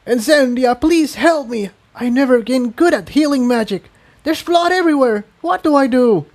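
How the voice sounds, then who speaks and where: A man's voice: extremely high pitch, lively intonation, normal speed, darkish tone, voice pushed up. A man voicing an anxious, emotional young male pony hero in a storybook reading, urgent and distraught. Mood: desperate pleading. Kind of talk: storybook character voice.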